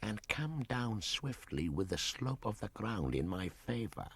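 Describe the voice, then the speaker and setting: A man voicing a grim, cunning big snake in a storybook reading, slow and hushed. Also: lowish voice, very animated, vocal fry, slightly rough, dry recording, pitched above the performer's own voice, exaggerated voice. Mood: grim stealth.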